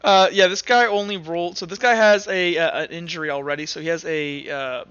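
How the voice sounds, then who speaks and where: A man working as game master, narrating a tabletop roleplaying game in an informal, halting way as he thinks aloud. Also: fairly high pitch, steady tone, a bit bright, clear, resonant tone, dry recording, dull audio.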